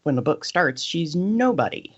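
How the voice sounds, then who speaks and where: A woman's voice: deep voice, very animated, moderate pace, husky. Woman chatting on slightly dull audio. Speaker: podcast co-host. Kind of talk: casual book discussion.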